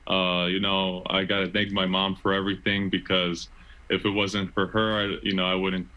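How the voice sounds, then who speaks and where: A man's voice: low-pitched, little pitch movement, moderate pace, dull audio. A man in his twenties with an American accent, answering casually as an interview guest in an earnest, searching way. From Chicago, United States. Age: 20s. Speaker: interview guest, stadium announcer.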